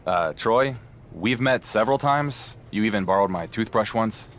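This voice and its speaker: A wry underdog pro wrestler in a game cutscene, with noise behind him. His voice is on the low side, and he speaks casually and with dry understatement. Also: lively intonation, normal speed, a bit bright. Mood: dry, impatient. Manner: casual, understated, dryly sarcastic. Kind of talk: scripted video game cutscene acting.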